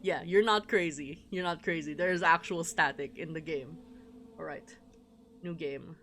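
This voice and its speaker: A livestreamer chatting casually while playing a game, rambling and excitable, prone to giggling. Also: mid-range voice, normal speed, a little hiss or hum. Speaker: livestreamer playing a visual novel. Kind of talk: casual live stream commentary with read-aloud game dialogue. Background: game music.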